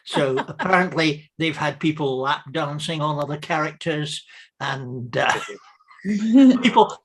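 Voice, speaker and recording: A woman's voice: deep voice, lively intonation, unhurried, breathy edge. A middle-aged woman chatting casually on an online call, telling things in an amused, wry way. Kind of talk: casual chat, anecdote. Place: remote online group call.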